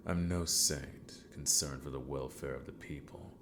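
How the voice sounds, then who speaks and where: A man playing a calm, scheming villain mentor in a scripted ASMR roleplay, courteous yet menacing, with formal, deliberate speech that often sinks to a whisper. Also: slight background noise, exaggerated voice. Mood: scheming, ambitious. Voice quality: very deep, gravelly. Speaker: villainous mentor training an apprentice.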